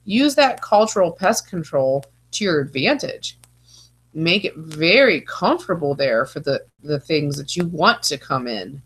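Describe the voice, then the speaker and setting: A woman's voice: deep voice, very animated, a little slow, a bit bright, husky. Congested woman teaching an online lecture. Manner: chatty, playful asides. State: congested, stuffy nose. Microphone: video call.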